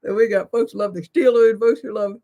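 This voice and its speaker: An older woman co-hosting a casual live chat, explaining things in a measured, supportive way. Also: mid-range voice, fairly even intonation, mellow. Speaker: co-host of a live community chat show.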